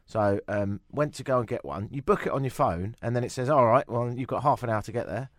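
A man hosting a talk radio show, sardonic and animated, joking and ranting about the news. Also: mid-range voice, fairly quick, no room sound, clean studio sound. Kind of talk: opinionated talk radio chat. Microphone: studio microphone.

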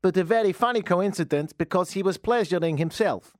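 A woman's voice: fairly low pitch, brisk, darkish tone, dry recording, clean studio sound, pitched above the performer's own voice, lightly acted. A man doing a mock Icelandic accent for a young wife in a comic radio bit. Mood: breezy.

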